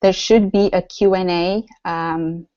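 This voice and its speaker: A woman's voice: lowish voice, fairly even intonation, slow, warm tone, no echo, lo-fi sound. A woman with a Romanian accent hosting a live online workshop, chatty and full of fillers and restarts. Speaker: workshop host. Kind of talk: live webinar teaching.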